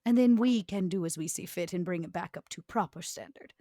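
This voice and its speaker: Scheming aristocratic vampiress, a century old, for tabletop roleplay, acted by a woman; formal, deliberate delivery, husky tone, close dry sound. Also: on the low side, warm tone, dry recording, close to an everyday voice. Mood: cool, calculating. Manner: measured, formal, deliberate phrasing.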